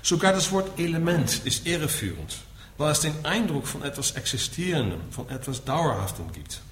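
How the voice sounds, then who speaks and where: Dutch accent guy giving a buddhist talk, lively up and down pitch, roomy echo, native dutch speaker. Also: normal speed. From Netherlands. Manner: explanatory, punctuating points with a questioning tag. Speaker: Buddhist teacher. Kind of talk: spoken lecture to listeners. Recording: echoey room with faint background noise.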